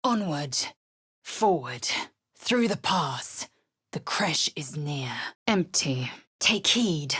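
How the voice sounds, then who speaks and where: A stern, husky alien warrior woman. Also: low-pitched, expressive, very slow, a bit bright, exaggerated voice. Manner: clipped, commanding, formal and scornful. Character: proud, stern githyanki warrior woman, young adult. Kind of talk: video game character dialogue.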